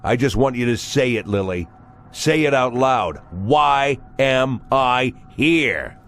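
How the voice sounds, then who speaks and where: An actor playing a stubborn older fugitive ex-cop in a video game, with a blunt, insistent way of questioning. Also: male voice, mid-range voice, expressive, slow pace, a bit bright. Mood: needling, relentless. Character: bearded old man, fugitive ex-cop pressing the sheriff, stubborn.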